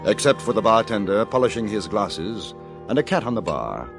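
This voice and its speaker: An actor playing a polite, reserved English gentleman reporter, narrating and acting in an old radio western. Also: lowish voice, big pitch swings, normal speed. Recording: old radio broadcast with music.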